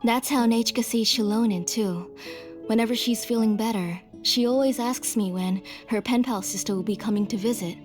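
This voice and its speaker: A gentle, sorrowful mother played by an actress speaks earnestly in a slightly high middle-aged voice. Soft music sits behind this video game dialogue. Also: moderate pace, close to an everyday voice. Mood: fond, wistful. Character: gentle guilt-ridden mother, a former master smith.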